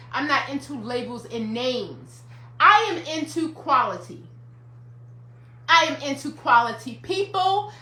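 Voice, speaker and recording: Emphatic fifties woman, lively bright voice. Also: sits high, echoey room. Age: 50s. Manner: emphatic, repetitive, preacherly. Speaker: counselor and life coach. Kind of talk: motivational livestream talk.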